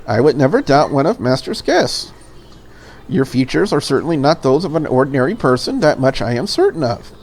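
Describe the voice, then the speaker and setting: A woman voicing a polite, deferential and curious maid in a visual novel, in a courteous, measured manner. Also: a bass voice, sing-song, darkish tone, exaggerated voice. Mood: courteous, tactful. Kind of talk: visual novel character read aloud.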